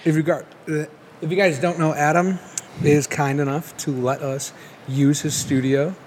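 A man's voice: a little high, flat delivery, normal speed, slight background noise. A man co-hosting a podcast, laughing a lot and swearing casually. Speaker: podcast co-host. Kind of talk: casual chat. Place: large studio.

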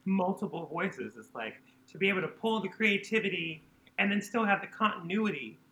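High, bright-voiced young man, roomy sound. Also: lively intonation, normal speed, slight background noise. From North Carolina. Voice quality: naturally high speaking voice. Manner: light, chatty, trailing off with "like". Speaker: guest singer-songwriter. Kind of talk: casual interview chat. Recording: roomy sound with faint guitar.

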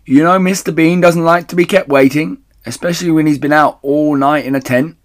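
A man puts on a bossy storybook woman with a high voice. She calls out loudly and impatiently, as if summoning someone from another room. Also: fairly even intonation, a little fast, close to an everyday voice. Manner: calling out loudly.

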